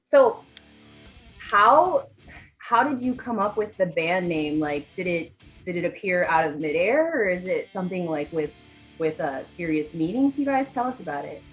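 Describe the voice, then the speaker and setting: A woman's voice: lowish voice, animated, moderate pace, a bit bright, soft background music. A young adult woman interviewing a band in a casual, upbeat way, with lots of "like" and "awesome". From United States. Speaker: interviewer, rock news correspondent. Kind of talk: casual interview over a video call.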